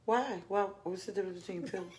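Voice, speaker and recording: A woman's voice: medium pitch, fast, a little mellow. A woman, chatting playfully on a church live stream and greeting viewers by name. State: hoarse. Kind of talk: casual live-stream chat.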